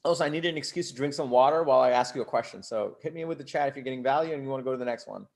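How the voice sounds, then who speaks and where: A man's voice: mid-range voice, rapid-fire, gravelly, darkish tone. A man from Bangladesh and the US giving a live online coaching talk, conversational and emphatic, often checking in with rhetorical questions. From Bangladesh until ten, then the US. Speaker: host, startup coach.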